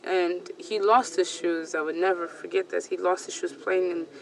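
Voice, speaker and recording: Woman in her 30s, gravelly but bright voice, lots of ums, mic a bit far off w faint hiss. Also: lowish voice, steady tone, normal speed. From Ethiopia. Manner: hesitant, many fillers and restarts. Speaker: storyteller sharing a personal account. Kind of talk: personal testimony. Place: small recording booth.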